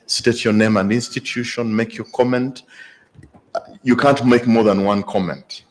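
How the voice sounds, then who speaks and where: A male moderator runs a question session in a conference hall. His directions are brisk and firm. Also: mid-range voice, fairly even intonation, a little hiss or hum.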